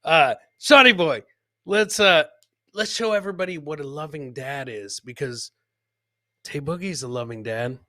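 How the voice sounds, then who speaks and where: A man with a forceful, bright voice pitched a little high hosts a casual comedy show. He riffs on video clips, circling back to the same catchphrases and chuckling at his own jokes. The sound is dry and close. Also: moderate pace, dry recording. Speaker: podcast host.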